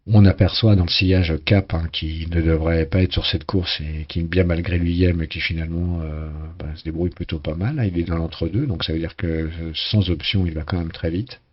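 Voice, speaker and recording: A hoarse man casually commenting on a sailing race game, talking with many hesitations and restarts. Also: deep voice, steady tone, normal speed, few pauses, dull audio.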